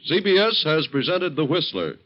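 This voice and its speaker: A man's voice: on the high side, big pitch swings, normal speed. A man working as a network announcer, in formal 1940s radio style. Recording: dull old radio, loud music behind.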